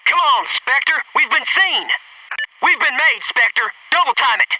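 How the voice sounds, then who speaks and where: A man's voice: extremely high pitch, lively intonation, a little slow, sharp tone, no room sound, recorded from a distance, full character voice, poor audio. A man voicing a cocky, wisecracking Navy SEAL squadmate in a military shooter video game, brash and sarcastic. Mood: urgent. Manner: brash, jokey, sarcastic banter and crisp tactical calls.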